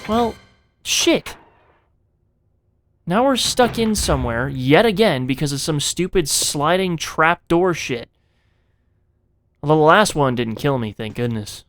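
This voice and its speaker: A man's voice: on the high side, animated, measured pace, pauses often, fairly bright tone. A man narrating a video game playthrough in a dramatized, scripted way, with casual, profane, jokey asides to the viewer. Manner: theatrical, self-interrupting asides to the viewer.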